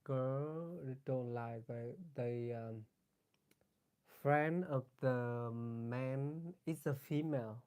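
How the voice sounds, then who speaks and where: Male co-host, non-native English accent, mid-pitched mellow voice, short casual remarks. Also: drawn-out words, smooth. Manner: brief replies in simple, non-native English. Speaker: livestream co-host. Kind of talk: casual livestream chat.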